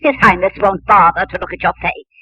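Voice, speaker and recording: A woman's voice: fairly low pitch, a little fast, breathy edge, no echo. An actress playing a cold, bossy middle-aged stepmother who scolds imperiously in sing-song rhyme, in 1950s radio drama style. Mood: mocking, scheming. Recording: dull, dry 1950s radio recording.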